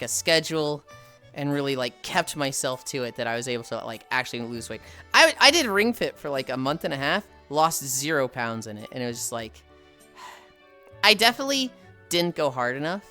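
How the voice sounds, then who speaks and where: A woman's voice: low-pitched, sharp tone. A woman in her thirties chatting casually on a livestream. She sounds tired and sardonic, sighs often, and swears freely. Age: 30s. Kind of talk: casual stream chat.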